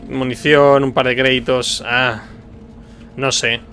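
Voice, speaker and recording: Male streamer, bright voice, informal gameplay musing over faint game noise. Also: mid-range voice, little pitch movement, takes it slowly. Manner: muses aloud, informal. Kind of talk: casual gameplay commentary. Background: video game sound.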